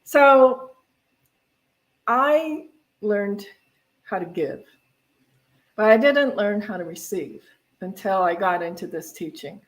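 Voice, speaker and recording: A woman's voice: lowish voice, poor audio. A woman minister speaking informally at a spiritual center service, in a conversational, rambling way that often corrects itself. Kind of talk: informal church talk on giving.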